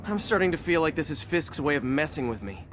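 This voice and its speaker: An actor playing a young wisecracking superhero in a video game, with an American accent and a casual, quippy delivery. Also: male voice, on the high side, very animated, a little fast, background noise. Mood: wry. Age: young adult. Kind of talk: video game voice acting, natural dialogue.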